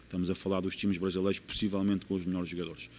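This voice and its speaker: A man's voice: deep voice, level tone, quick pace, darkish tone, slight background noise. A man with a European Portuguese accent, a football coach in a podcast interview, explaining his views earnestly and repeating key phrases for emphasis.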